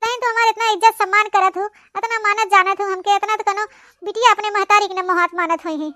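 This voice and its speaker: High, bright, doting old Awadhi mother-in-law. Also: fairly even intonation, quick pace, dry recording. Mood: grateful, effusive. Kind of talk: cartoon comedy monologue in rural dialect.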